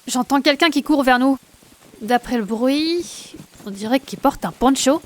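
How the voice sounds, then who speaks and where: Actress as ironic, teasing road-trip friend, higher-pitched, amateur audio comedy. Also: female voice, expressive, measured pace. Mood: wry. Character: dry, teasing female travel companion.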